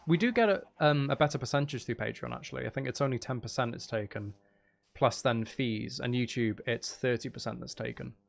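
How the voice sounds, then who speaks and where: A man's voice: medium pitch, fairly quick, vocal fry. A man chatting casually and in a rambling way as he hosts a livestream while gaming. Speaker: streamer, ASMR channel host.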